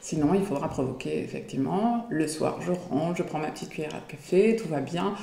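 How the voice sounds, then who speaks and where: A woman's voice: deep voice, lively intonation, brisk, vocal fry, dark tone, roomy sound. A woman in her forties chatting in an interview, hesitating often as she talks. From Belgium. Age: 40s. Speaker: guest. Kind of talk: casual interview chat.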